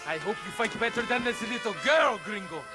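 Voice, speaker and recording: A hot-tempered Mexican army bully, mocking and barking orders. His voice is very high for a man and swings widely, over loud music. It is video game acting with a thick sneer and a Mexican accent. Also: animated, fairly quick.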